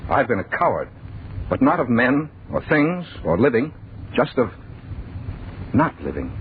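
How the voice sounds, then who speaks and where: A man's voice: mid-range voice, sing-song, unhurried, narrow, tinny audio. Desperate earnest man in old radio drama, voice all over the place, thin crackly recording. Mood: anguished confessing. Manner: earnest, pleading, agitated. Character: anguished, fearful man confessing to his sweetheart. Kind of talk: 1940s radio drama acting.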